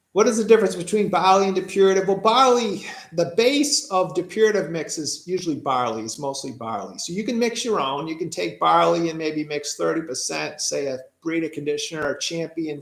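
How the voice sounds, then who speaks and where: A man's voice: a little high, vocal fry. A man from Massachusetts with a Boston accent, reading out viewers' questions and answering them off the cuff in a tired, casual way. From Massachusetts, USA. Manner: reads questions aloud and answers off the cuff, with fillers and restarts. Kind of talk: casual live-stream Q&A. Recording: echoey room.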